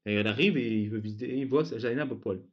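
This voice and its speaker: A man's voice: medium pitch, quick pace. A man hosting a casual talk, sarcastic and laughing often. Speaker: host of a debate channel. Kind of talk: casual mocking chat. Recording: dull lo-fi sound.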